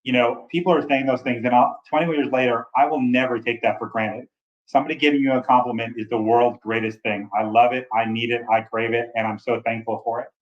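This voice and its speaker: A man in his forties with a big voice, telling his story with energetic enthusiasm in a casual interview. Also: medium pitch, fairly quick, dull audio. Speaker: travel nurse recruiter.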